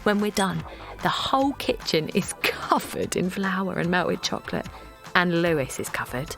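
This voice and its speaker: A woman's voice: lowish voice, big pitch swings, normal speed, vocal fry, fairly bright tone, faint music behind, heavily acted. An actress playing Linda, a chatty, bubbly young mum who narrates her campaign in an audio drama. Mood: cheerful. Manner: chatty, bubbly, laughing often. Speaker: narrator and lead character.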